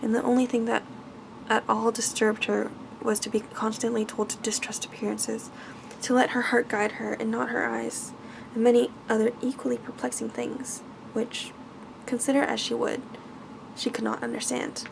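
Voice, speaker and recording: A soft-spoken woman gently reading a bedtime story in an ASMR style, measured and now and then stumbling over words. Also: fairly high pitch, little pitch movement, gravelly, background noise. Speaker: ASMR host reading aloud.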